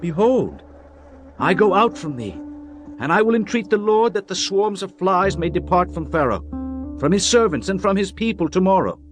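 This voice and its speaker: A man's voice: sits high, animated, moderate pace. A man playing an earnest, firm prophet in a solemn, declamatory dramatised scripture reading. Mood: earnest warning. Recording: music behind the voice.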